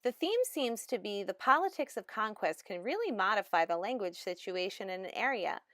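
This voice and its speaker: A woman giving a measured, explanatory lecture narration as a language instructor. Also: medium pitch, sing-song, fairly bright tone, dry recording, clean studio sound.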